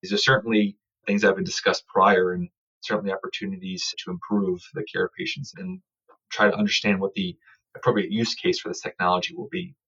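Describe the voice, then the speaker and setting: A man's voice: low voice, brisk, a bit bright, husky, dull audio. A man explaining research in an interview with formal, careful, technical phrasing. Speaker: surgical researcher interviewed as study author.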